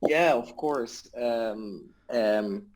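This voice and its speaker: Man from the Netherlands, medium pitch, halting, repeats words, casual interview guest. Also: a little mellow, poor audio. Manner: hesitant, repeats words often. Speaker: interview guest, tech startup founder. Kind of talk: casual conversational explanation.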